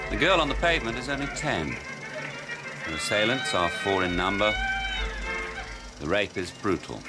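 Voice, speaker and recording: An actor playing a cold, patronising doctor in a radio drama, clinical and condescending. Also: male voice, deep voice. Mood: clinical, relentless. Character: cold, patronising male doctor running a brutal experiment.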